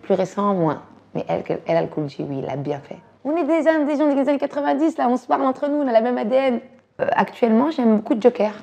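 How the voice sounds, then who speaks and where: A woman from Belgium in her thirties, talking casually and quickly about music and dance, using slang and repeating words. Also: on the high side, animated, creaky, mellow, lo-fi sound, a little hiss or hum. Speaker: actress and director talking about her influences. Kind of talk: casual chat.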